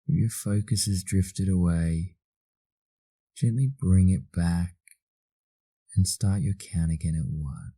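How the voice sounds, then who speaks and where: Very deep, dark-voiced Australian man guiding meditation. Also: breathy edge, dry recording. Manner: slow, soothing, with long pauses. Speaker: guided meditation instructor. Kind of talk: guided meditation narration.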